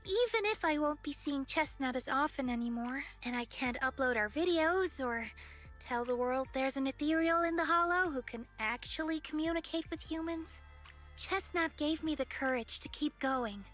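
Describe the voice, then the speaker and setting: A woman's voice: high-pitched, moderate pace, a bit bright. A woman playing an earnest, eager young researcher with big dreams in a video game. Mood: excited. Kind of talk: English video game voice acting. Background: game music.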